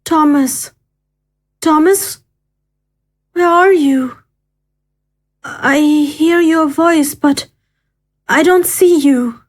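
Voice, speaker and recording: Woman acting a very slow, yearning ghost woman. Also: high-pitched. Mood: searching, desperate. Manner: searching, plaintive. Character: lost, yearning ghost woman calling through darkness. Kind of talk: audio horror drama acting.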